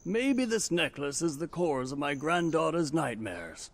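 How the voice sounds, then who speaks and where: An actor playing a weary, kindly old grandfather in a video game cutscene, speaking in an unhurried, world-weary way. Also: on the high side, sing-song, heavily acted. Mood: weary, earnest. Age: older. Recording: music behind the voice.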